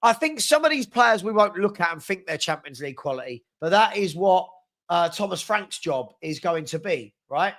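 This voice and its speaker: A young man hosting a live stream, his voice pitched fairly high for a man. He chats in an upbeat way, rattling off shout-outs to viewers while fighting a sniffly head cold. He is close to a desk mic. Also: very animated, normal speed. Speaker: host of a live fan chat show. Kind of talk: casual live-stream chat reading out viewers' comments.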